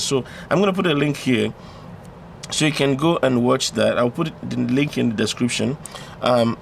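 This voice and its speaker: A man's voice: mid-range voice, creaky, slight background noise. A man giving an informal electronics tutorial, explaining step by step and repeating phrases for emphasis. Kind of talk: informal explanatory tutorial, talking through a diagram.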